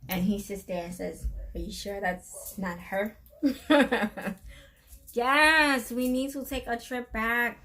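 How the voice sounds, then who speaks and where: A chatty middle-aged woman hosting a casual live stream with her daughter. Her voice sits fairly high, and she laughs easily as she tells her stories. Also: slow pace, a bit bright. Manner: chatty, laughs often while telling stories.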